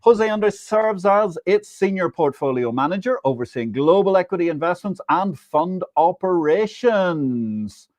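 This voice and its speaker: A male show host with a highly animated delivery and a slightly high-pitched voice. He presents in a grandiose, emphatic way, as if every item is an announcement, and the sound is dry and close. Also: measured pace, hardly any pauses, no room sound. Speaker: live stream host. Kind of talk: animated scripted news monologue and guest interview.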